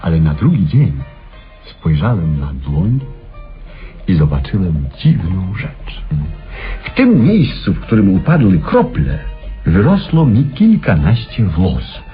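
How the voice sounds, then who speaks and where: An actor playing an eccentric, obsessive male inventor in a radio play, telling his story in a theatrical, halting way with dramatic pauses. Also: low-pitched, wildly swooping pitch, faint music behind, full character voice. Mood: reminiscing. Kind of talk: Polish radio play acting. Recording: dull old radio drama recording.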